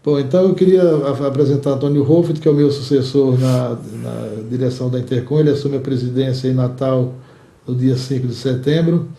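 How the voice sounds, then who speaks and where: An older man with a Brazilian Portuguese accent gives measured, formal answers as an association's outgoing president, choosing words deliberately and repeating some as he goes. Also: mid-range voice, steady tone, creaky, warm tone.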